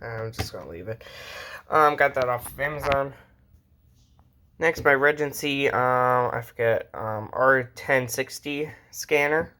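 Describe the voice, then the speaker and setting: A man's voice: medium pitch, a little slow, sharp tone, slight background noise. A man talking casually and informally as he shows off a collection, rambling as he goes. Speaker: host showing a radio collection. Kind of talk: casual hobby show-and-tell.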